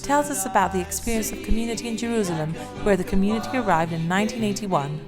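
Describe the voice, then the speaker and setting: Brisk female news narrator over music. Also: mid-range voice. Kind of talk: scripted documentary narration. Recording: music bed under the voice.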